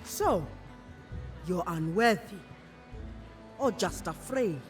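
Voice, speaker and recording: Proud champion priestess: measured, commanding delivery, slow pace, game cutscene over loud music. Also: female voice, on the low side, animated. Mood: taunting. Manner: measured, declamatory. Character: bold, commanding warrior priestess, proud champion of her people. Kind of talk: video game cutscene acting.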